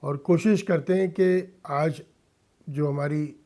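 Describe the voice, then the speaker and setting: A man's voice: a little high, fairly even intonation, takes it slowly, dark tone. A middle-aged man from India speaking earnestly as a radio interview guest, dropping in English phrases. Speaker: interview guest, businessman and social worker. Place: radio studio.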